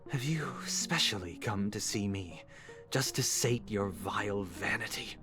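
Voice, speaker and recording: An actor playing a grave, proud young man in a game, speaking in a stern, measured, formal way. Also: fairly low pitch, animated, over music. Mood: bitter, resigned. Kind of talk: scripted game dialogue.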